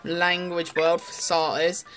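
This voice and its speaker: A woman's voice: fairly low pitch, level tone, slow. A woman giving casual, rambling commentary as the host of a toy showcase video. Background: music and the toy's sounds behind the voice.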